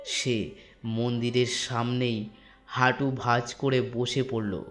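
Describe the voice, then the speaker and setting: A man narrating a scripted ghost story in a dramatic storytelling manner with drawn-out pauses. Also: mid-range voice, steady tone. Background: soft instrumental music.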